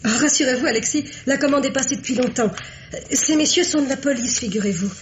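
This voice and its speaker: An actress playing a sociable, bubbly young confectioner in a French radio mystery, chatty and breezily untroubled. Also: female voice, high-pitched, quick pace, noisy background, close to an everyday voice. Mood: chirpy.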